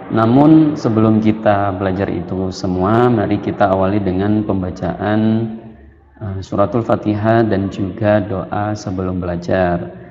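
Young male schoolteacher for a children's religion lesson; unhurried delivery, long room reverb. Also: fairly low pitch, warm tone, lo-fi sound, slight background noise. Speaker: teacher.

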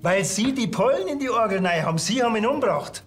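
An actor playing a gruff, sarcastic Bavarian police officer in a TV crime comedy, blunt and dry. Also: male voice, high-pitched, expressive, quick pace, soft background music. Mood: accusing.

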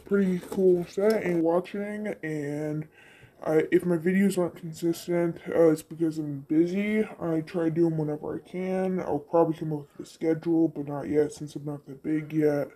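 A video creator chatting casually and haltingly through an unboxing, with the voice run through a voice changer. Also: mid-range voice, steady tone, mellow. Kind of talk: casual chat. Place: indoors at a tabletop.

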